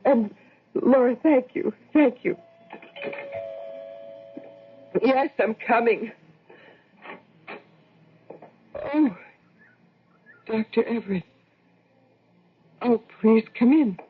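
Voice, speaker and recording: A woman playing a fretful housewife and mother barely holding herself together in a radio drama, anxious, halting and pleading. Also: fairly high pitch, slight background noise. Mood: flustered, polite. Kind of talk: old-time radio drama acting. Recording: tinny narrow-band old radio recording.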